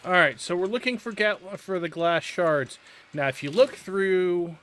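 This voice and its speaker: A man's voice: high-pitched, animated, a little slow, fairly bright tone. A young man giving casual let's-play commentary, explaining game quests in a chatty, friendly way. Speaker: gameplay commentator.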